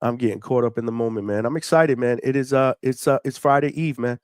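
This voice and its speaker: A middle-aged man hosting a live boxing call-in stream, chatting casually in hyped, slangy talk with shout-outs and free swearing. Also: medium pitch, quick pace, smooth, no room sound, studio quality. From New York City, United States. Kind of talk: casual livestream chat with viewers.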